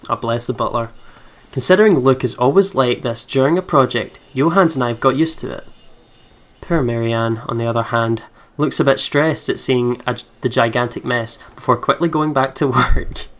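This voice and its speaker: A man's voice: mid-range voice, moderate pace. A man reading visual novel narration aloud, dropping in fond, giggly asides. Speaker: let's play host. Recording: game music behind voice.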